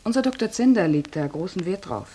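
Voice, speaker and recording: A woman's voice: fairly low pitch, animated, fairly quick, mellow, slight background noise, natural voice. An actress in a radio drama playing a cheery hospital nurse who mothers and coaxes her patient. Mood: cheery, coaxing. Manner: coaxing, kindly patronising.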